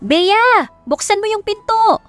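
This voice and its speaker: Woman doing an excitable, high-pitched young neighbour. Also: slow, no room sound, soft background music, heavily acted. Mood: alarmed. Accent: Filipino. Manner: urgent, disbelieving.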